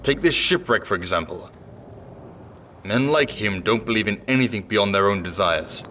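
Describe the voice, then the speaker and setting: Casting: righteous, suspicious knight, low voice, formal and grave, fantasy RPG, slight ambient noise. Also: male voice, expressive, moderate pace, bright, forceful tone. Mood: stern. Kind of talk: fantasy video game voice acting.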